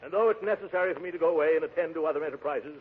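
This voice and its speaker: A tinny, hissy 1940s radio comedy recording of an actor as a pompous factory owner. His voice is on the high side for a man. He orates with portly self-importance and stammers into bluster. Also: racing, close to an everyday voice. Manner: pompous, speechifying, stammering when flustered.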